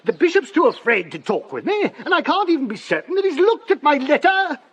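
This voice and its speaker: An actor playing a proud, blustering English archdeacon in a period radio drama, pompous and emphatic in manner. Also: male voice, very high-pitched, very animated, fairly quick, a bit bright, dull audio, exaggerated voice. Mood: outraged, exasperated.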